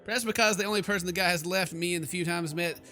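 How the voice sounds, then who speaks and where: A man's voice: high-pitched, fast talker, pushed and bright, soft background music. A man hosting a horror game playthrough, reading text aloud and reacting with theatrical, sarcastic, exclamatory commentary. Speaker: gaming video host.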